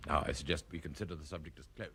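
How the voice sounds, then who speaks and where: An actor playing a measured, formal, guarded senior police commissioner. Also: male voice, very deep voice, a bit bright, breathy edge, full character voice. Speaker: assistant commissioner. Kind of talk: 1960s radio drama acting.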